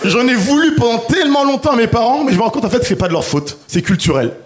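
A man's voice: very high-pitched, animated, fast. A man in his thirties with a Paris-area French accent performing a self-deprecating stand-up routine straight to a live audience. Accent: French, Paris region. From Paris suburbs, France. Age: 30s. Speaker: stand-up comedian. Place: live comedy stage. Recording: live stage show with audience laughter. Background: laughing audience.

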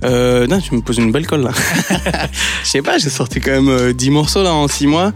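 A man's voice: medium pitch, normal speed, faint music behind. A man from Belgium, a DJ and producer, chatting as a guest in a radio interview, with many fillers and restarts. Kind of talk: casual radio interview chat.

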